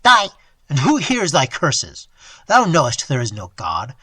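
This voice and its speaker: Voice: mid-range voice, wildly swooping pitch, a little slow, pushed and bright, husky, dry recording, exaggerated voice. A reader voicing a cold young male robber who speaks with hard, mocking scorn. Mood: cold threat.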